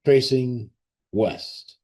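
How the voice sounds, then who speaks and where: A man leading a guided meditation and ceremonial invocation in a solemn, reverent, measured way with long pauses. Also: medium pitch, warm tone.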